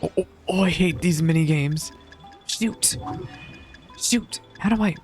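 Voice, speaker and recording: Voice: mid-range voice, measured pace, darkish tone, soft background music. A game streamer giving casual reaction commentary in a playful, self-deprecating way.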